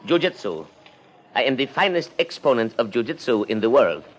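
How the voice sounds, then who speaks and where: Male actor as investigator, pompous, stilted formal phrasing, unflappable, animated pitch swings, vintage radio acting. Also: a little hiss or hum, natural voice. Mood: calm, boastful. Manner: formal, stilted, boastful, deliberate. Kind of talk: 1950s American radio drama acting.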